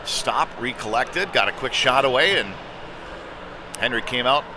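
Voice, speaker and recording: A man calls a hockey game live as the play unfolds. He speaks in short, clipped fragments at a medium pitch. Clear venue noise runs behind his voice. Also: animated, a little slow. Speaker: play-by-play commentator. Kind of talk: live youth hockey commentary. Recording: noisy rink, clear background noise.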